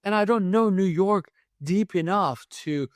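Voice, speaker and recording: Lively Turkish-born man telling stories. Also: high voice, unhurried, no echo. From Turkey. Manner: lively storyteller who acts out quoted dialogue. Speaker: head concierge, guest. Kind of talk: podcast interview storytelling.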